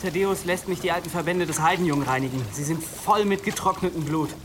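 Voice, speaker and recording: A man's voice: on the high side, fast talker, background noise, lightly acted. An actor playing a battered, bitterly resolute young man in an audio drama, dry and mocking. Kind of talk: German audio drama acting.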